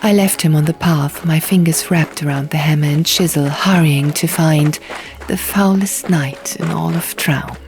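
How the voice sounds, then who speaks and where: Low-voiced woman narrating a novel. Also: normal speed, darkish tone. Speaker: audiobook narrator. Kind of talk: first-person literary story narration. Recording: music under the reading. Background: music and sound effects.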